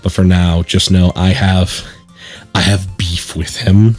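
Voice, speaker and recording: A man's voice: sits low, level tone, takes it slowly, a little mellow, faint music behind. A man hosting a let's play, chatting and explaining casually and reading game text aloud.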